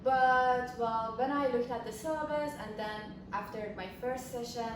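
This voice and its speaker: A woman's voice: a little high, normal speed. A young woman from Iran, an international student, chatting casually and repeating herself when searching for words. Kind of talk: casual chat. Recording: echoey room, far from mic, noisy.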